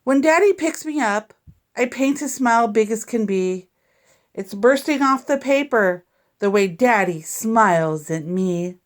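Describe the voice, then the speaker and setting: A woman reading a picture book aloud to young children in an expressive, deliberate, teacherly way. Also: on the high side. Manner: deliberate, animated reading with sound effects and asides to listeners.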